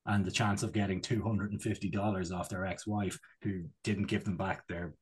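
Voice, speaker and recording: A man's voice: sits low, flat delivery, moderate pace, husky. A man chatting casually as a comedy podcast co-host, rambling and digressing with a jokey manner.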